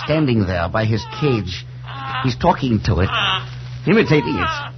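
An actor playing a terrified older professor losing his grip, speaking in halting, breathless phrases in a 1940s radio drama. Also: medium pitch, wildly swooping pitch, a loud crowd. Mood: frantic, disgusted. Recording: muffled, noisy 1940s radio recording with hum.

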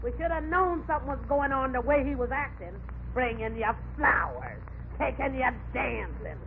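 A woman playing a bossy, sharp-tongued older mother-in-law in old radio comedy, with emphatic delivery. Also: high-pitched, animated, moderate pace, vocal fry. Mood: knowing, disapproving. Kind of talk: 1940s radio comedy acting. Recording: noisy, tinny old radio recording.

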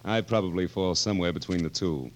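A man's voice: deep voice, quick pace, slight background noise, lightly acted. An actor in a 1940s radio drama playing a wily, sardonic king of France who speaks in a musing, regal, hesitant way. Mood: stern. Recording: vintage 1940s radio broadcast.

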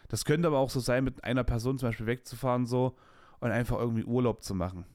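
A man's voice: mid-range voice, quick pace, a little mellow, dry recording. Late 20s guy rambling on his podcast, slangy and candid, dry close sound. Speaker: podcast host. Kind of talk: casual personal monologue.

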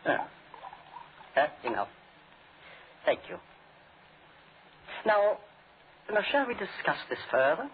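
An actor playing a suave, sinister doctor in a 1940s radio drama, speaking with formal, menacing courtesy. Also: male voice, high voice, sing-song, slow, long pauses, heavily acted. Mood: coolly wary. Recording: tinny, noisy old 1940s radio recording.

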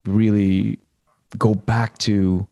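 Mellow-voiced man hosting an interview. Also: fairly low pitch, little pitch movement, drawn-out words, vocal fry. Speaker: interviewer, host. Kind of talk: conversational interview.

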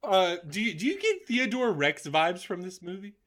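A man's voice: high-pitched, huge pitch swings, moderate pace, fairly bright tone, slight background noise. A man hosting a casual film discussion podcast in a chatty, informal way. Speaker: podcast host.

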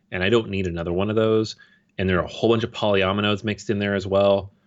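A man co-hosting a podcast, talking casually and with firm opinions, with plenty of fillers. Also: fairly low pitch. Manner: relaxed, opinionated, peppered with fillers. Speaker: podcast co-host. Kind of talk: casual chat. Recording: dull, lo-fi audio.

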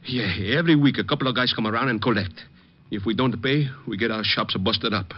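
An actor playing a worried, good-natured immigrant butcher with halting broken English, in 1950s radio drama style. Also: male voice, fairly low pitch, breathy edge. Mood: worried, earnest. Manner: halting, broken grammar, easily puzzled. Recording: muffled old radio recording.

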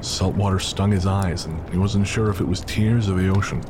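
A man narrating an audio drama in a measured, somber way. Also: deep voice, steady tone, background noise. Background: music.

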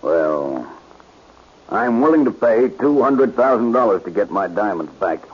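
An actor playing a wealthy, businesslike diamond owner in a 1940s radio drama. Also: male voice, fairly low pitch, expressive, unhurried, slightly rough. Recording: muffled old-time radio recording.

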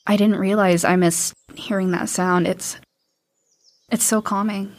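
A woman playing a soft hesitant daughter. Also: mid-range voice, steady tone, unhurried, natural voice. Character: teenage daughter, hopeful and gentle. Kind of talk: audio drama acting.

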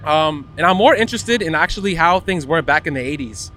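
A man's voice: on the high side, animated, a little fast, bright, forceful tone. A man hosting a podcast, chatting casually as he interviews, recorded on location at a car event. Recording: clear background noise of racing event. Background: vehicle and event noise.

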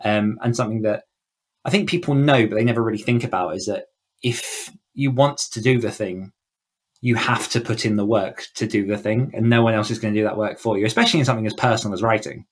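A man's voice: lowish voice, fast talker. A man speaking as an author coach in a conversational podcast interview, explaining informally with plenty of "like" and "you know". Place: indoors, in a room at home, online call.

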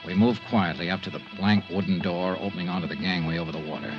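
A tough American private investigator from vintage radio drama, played by a man, with a lowish voice. He speaks in clipped, sardonic, matter-of-fact phrases, as if reading out a case file. The recording is an old 1950s broadcast. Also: steady tone, fast, highs cut off, over music, lightly acted. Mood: terse narration. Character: tough, wry male freelance insurance investigator and narrator. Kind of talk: 1950s radio detective acting.

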